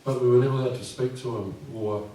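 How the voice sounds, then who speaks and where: A man in his fifties with a croaky voice, reading his poems at a live event and speaking gently and unhurriedly. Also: mid-range voice, fairly even intonation, fast talker. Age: 50s. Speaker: poet. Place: live venue with audience. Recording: echoey room from far off.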